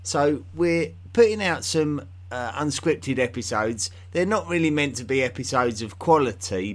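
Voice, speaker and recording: A man's voice: mid-range voice, fairly bright tone. A man with an English accent hosts his own history podcast, talking unscripted and rambling a little, with frequent fillers and restarts. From England. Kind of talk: unscripted solo podcast talk.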